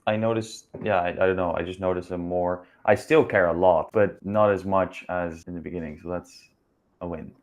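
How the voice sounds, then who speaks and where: A man's voice: low voice, fairly even intonation, moderate pace. A man vlogging about taking singing lessons, talking candidly and a bit hesitantly. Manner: self-conscious, candid, hesitant. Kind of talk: casual vlog narration.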